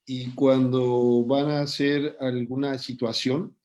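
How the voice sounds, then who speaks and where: A man who hosts and moderates an online lecture, speaking in a measured, deliberate way. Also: mid-range voice, level tone, mellow, dull audio. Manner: measured, deliberate, pauses with fillers. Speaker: host and moderator.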